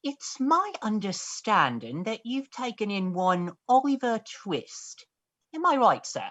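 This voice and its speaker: A woman's voice: medium pitch, sing-song, measured pace, fairly bright tone. A woman playing a bitter, scheming disinherited male heir in a stage play reading, speaking formally and with insinuation. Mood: sly.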